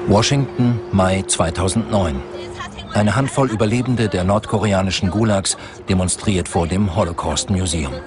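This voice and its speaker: Low-voiced male documentary narrator. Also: moderate pace, over music.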